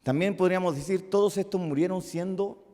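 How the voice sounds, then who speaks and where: A male pastor with a Chilean accent preaching a sermon, moving between loud emphatic peaks and soft, musing asides. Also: high-pitched, a little fast. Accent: Chilean Spanish. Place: church hall. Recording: long reverb of a church hall.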